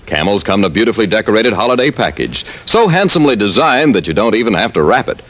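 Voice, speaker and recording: A man's voice: on the low side, very animated, fast talker, fairly bright tone. A man as a radio announcer, reading ads and credits in a polished, persuasive style. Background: music.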